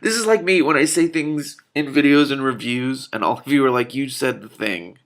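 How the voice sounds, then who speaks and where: A man's voice: on the high side, brisk. A man reading a novel aloud expressively as host, breaking off with amused casual comments.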